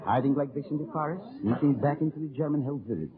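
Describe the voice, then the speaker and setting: A theatrical Frenchman played by an actor, the exuberant, crafty leader of forest partisans in a vintage radio play. His highish voice leaps up and down, on a narrow, tinny broadcast recording with a murmuring crowd behind him. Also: fast talker, natural voice. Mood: confident, earnest. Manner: theatrical, repetitive, chuckling. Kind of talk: 1940s-50s radio drama acting.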